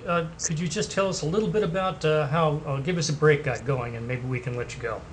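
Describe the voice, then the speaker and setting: Guy doing a skype interview, kinda high voice, little bit of hiss behind. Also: fast. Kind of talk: casual interview questions. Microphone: video call.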